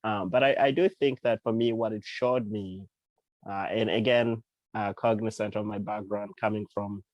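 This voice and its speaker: A man from Uganda talks in an unhurried interview, pausing with fillers and restarting his sentences. His voice sits on the low side. The recording is dry and close, with no room echo. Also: steady tone, lo-fi sound. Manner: thoughtful, with frequent fillers and restarts. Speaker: guest author and CEO. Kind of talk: casual interview talk.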